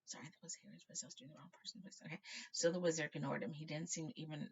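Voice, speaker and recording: A woman's voice: low-pitched, flat delivery, very fast, slightly rough, whispered, highs cut off. A woman narrating a children's novel aloud, informally, stumbling now and then and correcting herself. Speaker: storybook reader.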